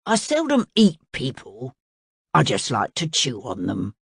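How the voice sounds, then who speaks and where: A woman doing a slow, deep-voiced greedy crocodile. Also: wildly swooping pitch, gravelly, slightly rough, dry recording, clean studio sound, exaggerated voice. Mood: slow menacing. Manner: slow, low drawl.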